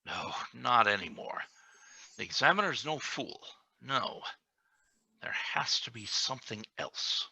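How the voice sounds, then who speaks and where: A man playing an ambitious, calculating deputy governor, emphatic and deliberate, in a radio play reading. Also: medium pitch, expressive, vocal fry, bright, forceful tone, breathy edge, no room sound, full character voice, poor audio.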